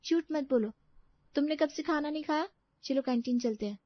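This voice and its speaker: Woman putting on a high-pitched caring college girl. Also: brisk, dry recording, muffled recording, natural voice. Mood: concerned. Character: kind young college girl, caring classmate.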